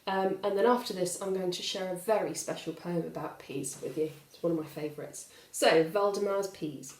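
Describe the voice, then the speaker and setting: A woman's voice: lowish voice, normal speed, a little mellow, roomy sound. A woman reading a bedtime story and hosting for young children, speaking expressively and directly to them. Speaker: storyteller and host.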